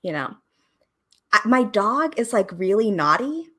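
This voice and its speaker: A woman in her twenties from Oregon, a podcast producer, talking in a relaxed, rambling way with fillers and small laughs. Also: medium pitch, measured pace. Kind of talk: casual conversation interview.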